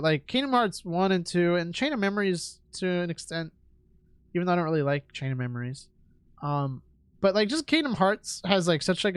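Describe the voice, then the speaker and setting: A young man streaming a game, talking in a halting, reflective way with lots of fillers, his nose stuffed up from congestion. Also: on the high side, clear, resonant tone, no echo, studio quality. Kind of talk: casual rambling stream chat. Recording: game music loud behind voice. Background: game music.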